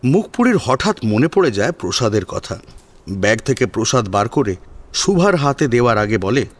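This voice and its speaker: A man reading scripted story narration in an expressive, dramatic storytelling style. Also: medium pitch, moderate pace. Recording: soft music under narration. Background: sitar music.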